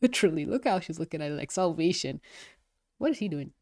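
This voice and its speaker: A young woman with a stuffy, nasal voice, chatting through a cartoon review. She gushes and gets excitable, tossing in the odd swear word, her voice sitting a little low. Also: quick pace. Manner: gushing, excitable, swears freely. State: congested, nasal. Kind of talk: casual review commentary.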